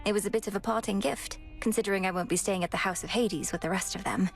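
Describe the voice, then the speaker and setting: An actress playing an earnest, composed young underworld princess in a video game, poised and gently formal. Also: female voice, mid-range voice, fairly even intonation, quick pace, natural voice. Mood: wistful. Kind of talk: video game character acting. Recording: music under the voice.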